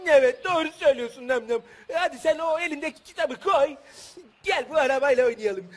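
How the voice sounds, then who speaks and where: An actor voicing an excitable, childlike little boy calf in a children's puppet show, whiny, eager and exclamatory. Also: high-pitched, quick pace, soft background music, lightly acted. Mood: impatient.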